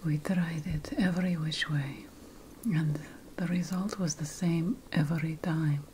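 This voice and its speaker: Woman acting as ancient witch priestess, breathy whisper, low-pitched, solemn, soothing, ASMR fantasy role-play, faint background noise. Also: fairly even intonation, darkish tone. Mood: solemn warning. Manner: slow, solemn, deliberate. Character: grave ancient Sumerian witch priestess, soothing to her apprentice.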